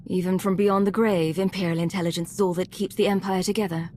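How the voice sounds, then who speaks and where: An actress playing a cool, defiant intelligence agent in a video game, with a composed, clipped, skeptical delivery. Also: female voice, lowish voice, steady tone, fast, warm tone, slight background noise, natural voice. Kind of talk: dramatic video game acting.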